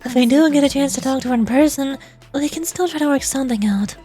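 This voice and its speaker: Woman voices young female game guide: easygoing, thoughtful, measured delivery, fairly high register. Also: warm tone, soft background music, natural voice.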